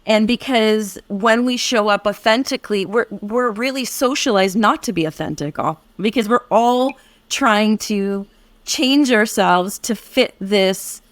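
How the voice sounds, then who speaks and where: A woman's voice: medium pitch, dry recording. Woman, American accent, podcast co-host, reflective, winding sentences, dry sound. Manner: reflective, affirming, speaks in long winding sentences with restarts. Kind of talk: conversational podcast chat.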